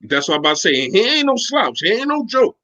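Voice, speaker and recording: A man co-hosting a postgame sports talk show, animated, stumbling over his words and restarting. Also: high-pitched, fast. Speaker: sports podcast co-host.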